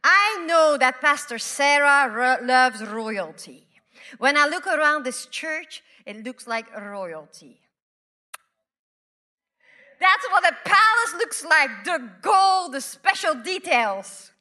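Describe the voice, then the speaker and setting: A woman from Belgium preaching a sermon, repeating phrases and swinging from loud declarations to hushed whispers. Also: high-pitched, very animated. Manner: slow, deliberate delivery with repeated phrases.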